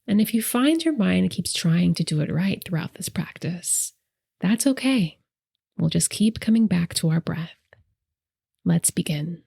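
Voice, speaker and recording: Slow, low, breathy woman guiding meditation. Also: expressive. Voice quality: breathy, soft voice trailing into whispers. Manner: slow, gentle, deliberate pauses. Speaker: guided meditation host. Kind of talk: soft, soothing guided meditation narration.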